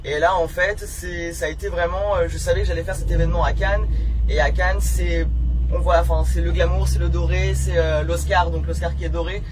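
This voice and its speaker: A woman's voice: on the low side, fairly even intonation, brisk. A woman fashion designer being interviewed in a limousine, talking casually and hesitantly with many fillers and restarts. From France. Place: inside a limousine. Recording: loud car noise behind.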